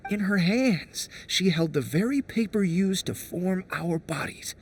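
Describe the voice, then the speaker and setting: An actor playing a fussy, excitable small forest creature who stammers eagerly and defends himself, in a game cutscene. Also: male voice, high-pitched, measured pace, slightly rough, exaggerated voice. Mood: earnest. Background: music.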